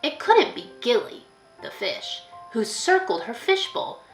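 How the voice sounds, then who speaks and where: A woman reading a children's picture book aloud as a school librarian, expressively, pausing to put questions to young listeners. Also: a little high, sing-song, reverberant, faint music behind.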